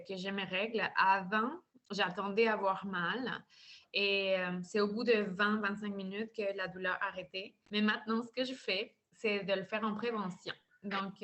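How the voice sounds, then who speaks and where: A woman from Mexico gives a casual, hesitant testimonial over a video call, with many pauses and fillers. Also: medium pitch, fairly bright tone. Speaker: customer giving a testimonial.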